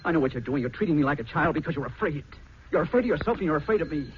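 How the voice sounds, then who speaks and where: A man's voice: fairly high pitch, lively intonation, very fast, highs cut off, natural voice. Man acting a lovesick young man, old noisy radio. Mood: anguished, insistent. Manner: earnest, pleading, intense. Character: lovesick, impetuous young nephew by marriage. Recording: noisy, band-limited 1940s radio recording.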